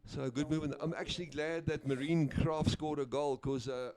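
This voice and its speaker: Guy doing sports commentary, laid back, lots of uhs, mellow voice. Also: a little high, fairly even intonation, moderate pace. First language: Afrikaans. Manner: easygoing, chatty, with frequent uhs and name slips. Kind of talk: live play-by-play commentary.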